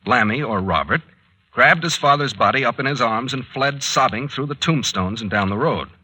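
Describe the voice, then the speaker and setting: An actor playing a methodical, authoritative police captain who lays out a murder case deliberately, in old-time radio mystery style. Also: male voice, medium pitch, lively intonation, bright, forceful tone, slightly rough, exaggerated voice, low-quality recording. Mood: explanatory, grave.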